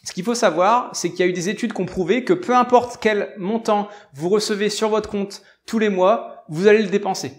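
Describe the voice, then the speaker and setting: A man's voice: high voice, fairly quick, hardly any pauses. A man from provincial France with a French accent, explaining informally to viewers, often repeating and rephrasing his points as he goes. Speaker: self-help video presenter. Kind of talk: informal explainer on personal finance.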